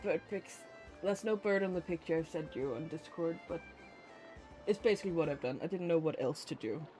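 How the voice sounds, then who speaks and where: A streamer chatting casually in a rambling, giggly, expressive way. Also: mid-range voice, brisk, soft background music, low-quality recording. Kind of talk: casual livestream chat.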